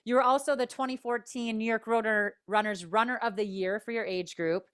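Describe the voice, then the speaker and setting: A woman's voice: on the high side, fairly even intonation, fairly bright tone, clean tone. A woman with a Minnesota accent hosting a panel conversation over a video call, upbeat and enthusiastic as she introduces her guests. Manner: upbeat, enthusiastic, quick restarts. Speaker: host.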